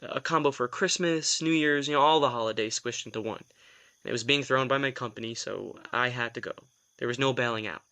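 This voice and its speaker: A man's voice: medium pitch, brisk, sharp tone. A man acting a reluctant vampire in an audio drama, a sardonic, put-upon office worker who tells things in a wry, hesitant, self-deprecating way. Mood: animated storytelling.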